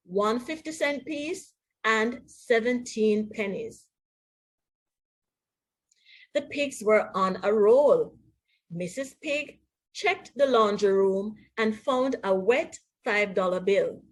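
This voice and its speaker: Woman, Jamaican accent, higher-pitched, unhurried, expressive teacher's read-aloud for young children. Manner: expressive, deliberate, addressing pupils directly. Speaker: primary school teacher.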